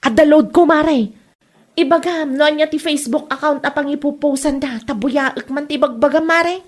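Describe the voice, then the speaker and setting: A woman's voice: sits high, moderate pace, close to an everyday voice. A woman with an Ilocano accent playing a sceptical, gossiping friend in a comedy radio drama. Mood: curious gossip.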